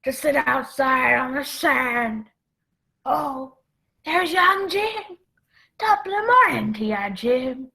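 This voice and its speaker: A sly villain, played by a woman in a radio play. The voice is high and gravelly, with slow, oily, polite wheedling. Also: lively intonation, sharp tone, full character voice. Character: Long John Silver, sly wheedling pirate villain.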